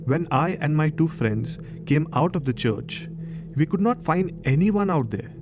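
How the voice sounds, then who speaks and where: A man's voice: on the high side, animated, a little slow, noisy background. A man in his thirties from Maharashtra, India, with an Indian accent, hosting and narrating a horror story show. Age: 30s.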